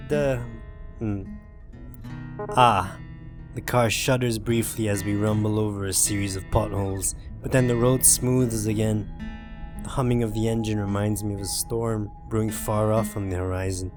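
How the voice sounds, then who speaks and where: A man's voice: lowish voice, fairly even intonation, a little slow, music in the background. A man hosting a gaming playthrough, reading a visual novel aloud with informal, jokey asides and occasional swearing. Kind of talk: narrated playthrough with dramatic reading and casual asides.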